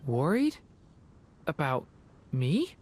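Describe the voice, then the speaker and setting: A performer voicing a gentle, thoughtful and hesitant young boy in a video game, speaking softly. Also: mid-range voice, big pitch swings, very slow, slight background noise. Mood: apologetic. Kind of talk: video game character dialogue.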